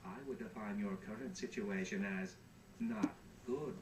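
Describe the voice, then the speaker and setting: A man's voice: fairly low pitch, lively intonation, moderate pace, natural voice. Man playing a distant, echoey, resentful helper robot. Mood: formal. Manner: wordy, formal, sardonic. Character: hardworking, resentful, bargaining helper robot who collects figures. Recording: distant, echoey game audio with background noise.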